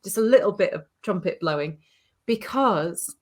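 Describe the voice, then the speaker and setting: A woman's voice: medium pitch, animated, normal speed, breathy edge. A woman who founded the course, hosting a casual conversation in an enthusiastic, playful way.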